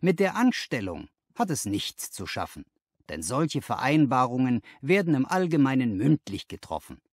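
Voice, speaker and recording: A man voicing a confident, analytical gentleman detective who lectures emphatically in an audiobook, posing rhetorical questions. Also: mid-range voice, big pitch swings, moderate pace, no echo, clean studio sound. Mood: instructive. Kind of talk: audiobook character dialogue.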